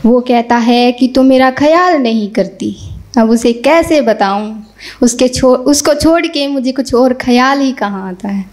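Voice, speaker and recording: Young female poet, highish voice, recites verse at an open mic and repeats each couplet. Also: measured pace, darkish tone, a little hiss or hum. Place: small indoor stage. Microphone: stand mic.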